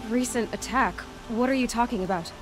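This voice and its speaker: A woman's voice: a little high, brisk, over music, lightly acted. A young woman playing a blunt, self-possessed huntress in a video game, speaking tersely and directly. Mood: businesslike. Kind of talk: video game dialogue acting.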